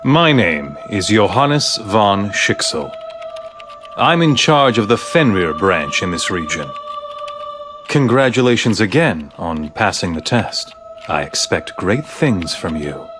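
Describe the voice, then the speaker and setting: A stern game-cutscene director with a low voice. He speaks with dignified, measured authority and plenty of inflection, over music. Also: big pitch swings. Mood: formal. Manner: formal, measured, commanding. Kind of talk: video game cutscene voice acting.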